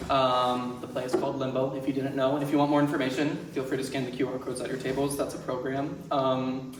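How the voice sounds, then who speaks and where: A man's voice: medium pitch, flat delivery, fast talker. Man addressing a room, distant and echoey. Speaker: playwright and director introducing a reading. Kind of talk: informal welcome speech to an audience. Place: indoor venue with seated audience. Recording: echoey room, distant mic, background noise.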